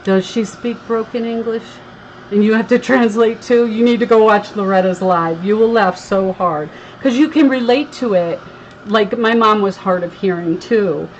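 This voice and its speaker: A woman's voice: medium pitch, moderate pace, dull audio, a little hiss or hum. A woman from Connecticut with a slight Southern twang, hosting a crafting livestream and chatting with viewers while she works. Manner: chatty, reads viewer comments aloud, laughs easily. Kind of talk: casual live crafting chat.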